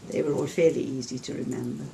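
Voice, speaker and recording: An older woman in a casual family interview, speaking gently and reflectively and laughing softly. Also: a bass voice, steady tone, quick pace, gravelly, a little hiss or hum, rough recording. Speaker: interviewee, relative recalling bedtime stories.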